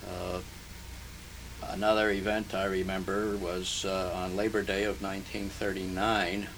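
An older man born in Hungary and raised in New Jersey, recalling his early life in an oral history interview, slowly and haltingly, with many pauses and fillers. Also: low voice, level tone. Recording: noisy archival tape recording.

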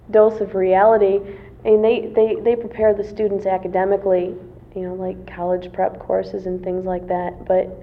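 A woman's voice: mid-range voice, gravelly. A woman in her twenties, a college student answering interview questions casually, with many fillers and restarts. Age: 20s. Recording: tinny phone-like lo-fi recording.

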